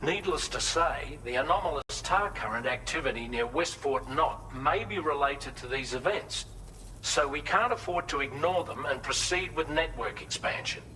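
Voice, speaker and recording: An actor playing an earnest, cautious mission adviser in a video game, speaking in a measured, formal and articulate way. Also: male voice, on the high side, animated, pushed and bright, a little hiss or hum, low-quality recording. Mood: serious briefing. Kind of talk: video game briefing, scripted.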